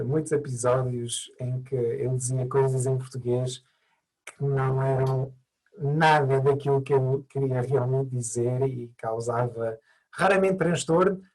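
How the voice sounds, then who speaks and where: A man's voice: mid-range voice, steady tone, measured pace, darkish tone, rough recording. A man who learned Portuguese as an adult, by birth, answering interview questions thoughtfully with many hesitations and restarts. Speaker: mediator, interview guest.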